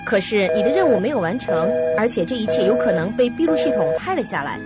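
Actress as an animated, troubled alien woman. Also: medium pitch, fast talker, lightly acted. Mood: earnest, troubled. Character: sorrowful alien woman with implanted emotions. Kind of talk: Chinese radio drama acting. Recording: muffled audio under loud music.

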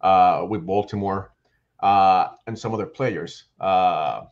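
A man, somewhat low-voiced, speaking in an animated way with lots of fillers and self-corrections. His voice comes through a headset on a video call. The sound is a bit dull and lo-fi. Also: slow pace. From Puerto Rico. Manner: animated, frequent fillers and restarts. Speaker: guest author and baseball writer. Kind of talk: casual video call interview. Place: home room. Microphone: headset microphone on video call. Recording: slightly dull, lo-fi call audio.

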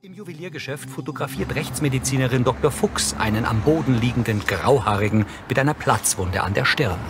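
A man's voice: mid-range voice, lively intonation, brisk, noisy background. A man reading scripted audio description as a narrator.

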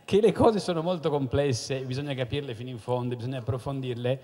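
A man's voice: medium pitch, quick pace, dark tone. A middle-aged man, an author on a panel, speaking in a thoughtful, hesitant, self-ironic way with a handheld mic on stage. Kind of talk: casual panel talk. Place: stage in a large hall. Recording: long hall reverb.

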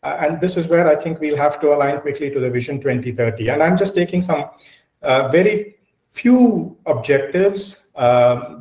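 A middle-aged man giving an explanatory online conference presentation, pausing often with hesitations. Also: mid-range voice, a little mellow. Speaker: keynote speaker, technology executive. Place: indoor room, on a video call. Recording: roomy, echoey video-call audio.

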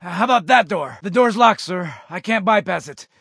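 Young marine grunt in a shooter game, high strained voice, bright and forceful, gutsy but on edge. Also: male voice, lively intonation, brisk, gravelly, breathy edge, no echo, clean studio sound, heavily acted. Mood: tense, uneasy. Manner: urgent, clipped military delivery. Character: gutsy, anxious young male Marine soldier in battle. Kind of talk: video game combat voice lines.

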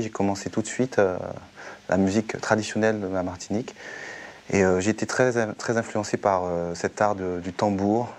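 A young man, a singer, giving measured explanations in an interview in a music studio. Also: sits low, a little hiss or hum. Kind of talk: interview talk.

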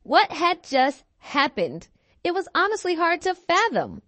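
A woman reading a children's picture book aloud in an expressive, theatrical storytelling style with emphatic phrasing. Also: very high voice, unhurried, no room sound. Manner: theatrical, clearly articulated, emphatic.

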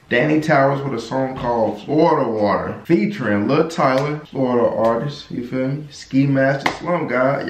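Young male reaction host: slangy, animated, in an echoey bedroom, pitch mid-range, faint background clicks. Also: slight background noise. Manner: animated, slangy, jumps into high-pitched exclamations. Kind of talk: casual reaction commentary. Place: small bedroom in a gaming chair. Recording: echoey room.

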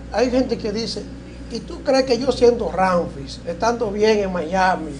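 Older host over noisy line. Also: male voice, high voice. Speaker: interview host. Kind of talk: interview questions. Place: small TV studio, indoors.

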